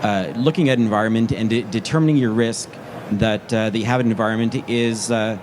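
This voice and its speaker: A man on a conference panel, halting and full of fillers as he explains his book title. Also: lowish voice, fairly even intonation, noisy background. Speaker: author on a panel. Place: large conference stage.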